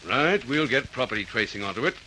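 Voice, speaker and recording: An actor playing a methodical, courteous police detective in an Australian accent, in classic radio drama style. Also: male voice, mid-range voice, big pitch swings, quick pace, sharp tone, a little hiss or hum, rough recording. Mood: businesslike, probing.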